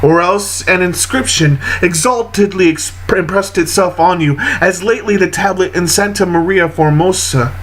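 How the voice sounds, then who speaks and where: A man reading poetry aloud in a solemn, literary style, with deliberate, measured phrasing and frequent pauses. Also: high-pitched, fairly bright tone, slight background noise. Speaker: poetry reader.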